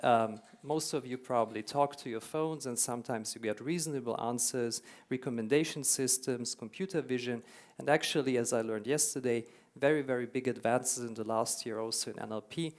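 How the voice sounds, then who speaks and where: A man's voice: mid-range voice, brisk. A man from Hungary who grew up in Germany, speaking with a German accent as he gives a conference keynote in a hall, earnest and explanatory, repeating words for emphasis. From Hungary, raised in Germany.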